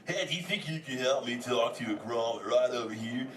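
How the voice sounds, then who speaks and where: A man playing a laid-back but excitable male sea turtle who drawls surfer slang while bantering with a live audience as host. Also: medium pitch, a little fast, recorded from a distance, natural voice. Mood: playful, excited. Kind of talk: improvised comic audience banter. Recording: echoey, noisy hall, voice from afar.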